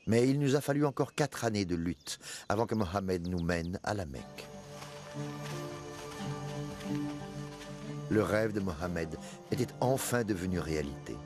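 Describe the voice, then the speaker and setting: An actor voicing a proud, devout man recounting his past in solemn, measured narration for an animated film. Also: on the low side. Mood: solemn, triumphant. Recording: music under the voice.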